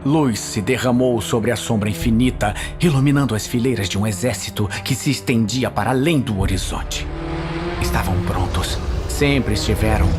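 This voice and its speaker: A man with a Brazilian accent narrating a fantasy audio series in a solemn, suspenseful, measured style. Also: medium pitch. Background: dramatic music.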